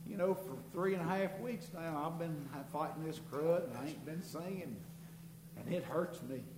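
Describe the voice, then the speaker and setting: He speaks, earnest and folksy, stumbling over his words as he gives a church testimony. His voice is pitched a bit high, nasal and congested from illness. The recording is roomy and echoey, with steady noise underneath. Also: normal speed. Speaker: congregation member giving testimony. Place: church sanctuary. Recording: echoey room with background noise.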